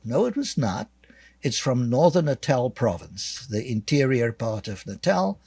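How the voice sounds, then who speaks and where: An older man from South Africa with a South African accent, a guest author answering interview questions in a measured, dryly sarcastic way with many fillers. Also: medium pitch, a little mellow, no room sound.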